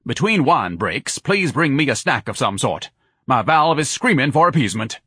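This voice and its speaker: A bombastic, self-important grown son, as a male narrator plays him, declaiming his complaints loudly. The voice sits a little above the reader's own and sounds lofty. The sound is dry and close. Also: mid-range voice, very animated, moderate pace, breathy edge, no room sound, pitched above the performer's own voice, heavily acted. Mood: indignant. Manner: grandiloquent, huffy, loud.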